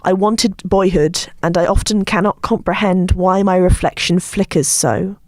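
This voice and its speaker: A woman, co-hosting a poetry podcast in a halting, hesitant way. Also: lowish voice, a little mellow, no echo. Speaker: podcast co-host and poet. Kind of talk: casual podcast chat and poem reading.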